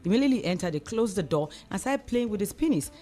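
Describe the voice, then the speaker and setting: A woman's voice: mid-range voice, lively intonation, fast talker, warm tone. A woman with a Nigerian accent speaking as a discussion guest, explaining earnestly and repeating phrases for emphasis. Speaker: discussion guest, community advocate.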